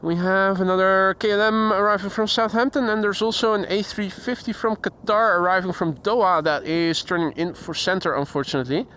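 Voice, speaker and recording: Man, Dutch accent, high voice, chatty live commentary, outdoors, faint background hum. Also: steady tone, a little fast, few pauses, sharp tone. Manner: chatty, reads chat aloud, imitates sounds. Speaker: plane spotting livestream host. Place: outdoors beside an airport. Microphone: handheld shotgun mic.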